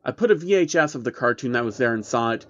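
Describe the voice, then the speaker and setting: A man's voice: medium pitch, lively intonation, fast talker, highs cut off, slight background noise, natural voice. A man voicing a casual male internet commenter in a horror story, recalling childhood in an offhand way. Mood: offhand recollection.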